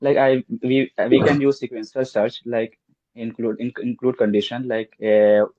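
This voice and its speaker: A man's voice: medium pitch, level tone, normal speed, warm tone, dry recording. A man giving a hesitant answer in a casual group discussion. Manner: hesitant, loose phrasing. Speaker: forum participant. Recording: dry, dull call audio.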